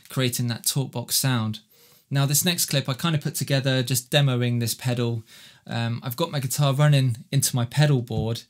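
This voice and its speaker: A man presenting and explaining guitar effects in a casual, instructional video style. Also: medium pitch.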